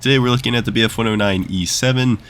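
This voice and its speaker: A man's voice: mid-range voice, very fast, a bit bright, smooth. A young man with a nasal, congested voice from a cold, giving casual gameplay commentary that explains things and wanders into asides. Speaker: gaming YouTuber.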